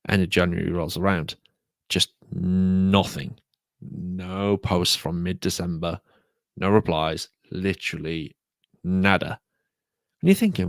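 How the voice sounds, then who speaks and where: A British man hosting a solo podcast, talking casually and repeating himself, croaky from a sore throat. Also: deep voice, no room sound, clean studio sound. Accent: British English. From United Kingdom. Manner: rambling, repeats words. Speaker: podcast host.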